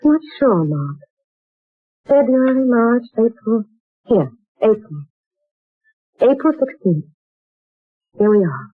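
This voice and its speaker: A woman's voice: fairly high pitch, sing-song, slow pace, long pauses, slightly rough, no room sound, heavily acted. An actress voicing a young woman uneasy about a strange job, in 1940s radio acting, talking in a hesitant, wondering way. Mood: earnest. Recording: tinny old radio broadcast with faint music.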